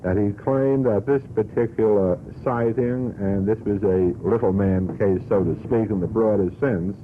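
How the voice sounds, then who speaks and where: A man's voice: lowish voice, normal speed. A man on an unscripted radio panel, speaking in a formal, wordy, opinionated way on an old broadcast recording. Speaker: radio panelist questioning a guest. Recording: tinny, noisy vintage radio broadcast.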